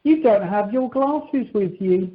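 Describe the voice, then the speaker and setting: An eager, courteous holiday rep, voiced by a woman in an amateur radio comedy. The delivery is unhurried and lively, fairly high, on a dull telephone recording. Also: very noisy. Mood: eager, polite. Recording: dull telephone conference line.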